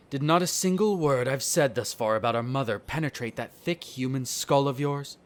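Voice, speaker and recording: Guy doing a snooty vampire lord for a roleplay audio, mid pitched, smug and condescending, talks all formal. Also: normal speed, lightly acted. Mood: annoyed, dismissive. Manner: condescending, sardonic, formal wording. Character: haughty, smug male vampire noble, disdainful of humans. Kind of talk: scripted roleplay audio drama.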